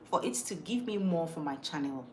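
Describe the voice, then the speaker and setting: A woman's voice: low-pitched, lively intonation, moderate pace, a little hiss or hum. Chatty woman with a mixed Ghanaian accent. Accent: Ghanaian, mixed from moving around. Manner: chatty and emphatic, repeats words, thinks aloud. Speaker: livestream host. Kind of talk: casual live chat, reading viewer comments.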